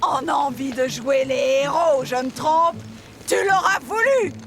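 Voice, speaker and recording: A woman's voice: high voice, measured pace. A woman playing a boastful, evil old witch in a French cartoon, theatrical, menacing and mocking. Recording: music behind the voice.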